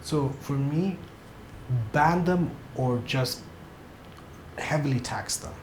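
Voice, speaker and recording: A man's voice: on the high side, takes it slowly. A man from Kenya speaks bluntly and emphatically in a sit-down interview. His voice carries a roomy echo with a faint hiss of background noise behind it. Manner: frank, emphatic, blunt opinions. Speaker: TV series director.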